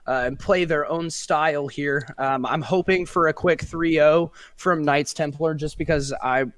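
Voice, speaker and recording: A man commentating an esports match, chatty and analytical, often pausing on fillers. His voice sits a little high, and the sound is close and dry with no room around it. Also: steady tone, a bit bright, dry recording. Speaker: esports caster.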